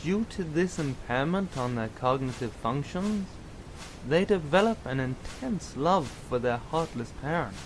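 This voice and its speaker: A man playing a grave, reflective young man, lecturing in a measured, explanatory way in an audio drama. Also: a little high, lively intonation, slight background noise, rough recording.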